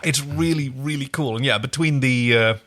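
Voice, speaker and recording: A man's voice: mid-range voice, normal speed, fairly bright tone. A man co-hosting a podcast, chatting casually and enthusiastically about a TV episode, with wry asides. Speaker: podcast co-host. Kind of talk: casual chat recapping a TV episode.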